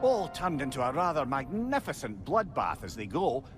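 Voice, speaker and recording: A man's voice: mid-range voice, huge pitch swings, normal speed, faint music behind. A man playing a witty, avuncular older talking head in a video game, a learned storyteller with a Scottish accent and wry, eloquent delivery. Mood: relishing storyteller. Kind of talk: theatrical video game acting.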